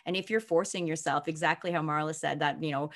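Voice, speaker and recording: A woman with a somewhat low voice speaks as a coach on a video call. She sounds enthusiastic and motivational, and she laughs easily. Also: fairly even intonation, quick pace. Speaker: coach and guest presenter. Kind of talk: casual conversational chat.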